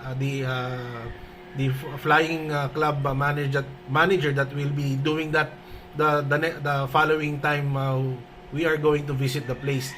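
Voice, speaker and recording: Higher-voiced Filipino man on ham radio. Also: flat delivery, fairly bright tone, slight background noise. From Philippines. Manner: halting, repeats words. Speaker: amateur radio operator.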